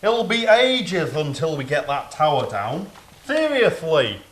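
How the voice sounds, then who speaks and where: Man playing a gloomy soldier in a comedy play, slowish, highish voice, very earnest. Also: sing-song. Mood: weary, stubborn. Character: gloomy, stubborn male soldier, reluctant schemer. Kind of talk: comic audio drama acting.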